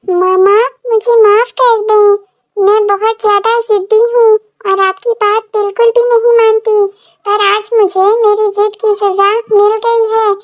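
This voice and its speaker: A stubborn small village girl from a children's cartoon, acted by a woman. The voice is extremely high and childlike, in a dry recording with no room sound. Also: level tone, a little slow, no echo. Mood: remorseful, pleading. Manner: childlike, simple, pleading complaints. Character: stubborn little village girl, frightened and tearful when lost. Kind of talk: children's cartoon story acting.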